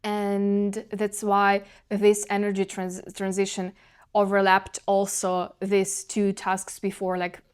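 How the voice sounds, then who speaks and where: A young woman with a Ukrainian accent, speaking English as a second language, muses aloud in a relaxed vlog. Her voice is smooth and clear at a middle pitch. She often stops to search for a word and starts the phrase again. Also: slow pace. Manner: searching for words, frequent restarts. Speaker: vlogger. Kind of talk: casual reflective monologue.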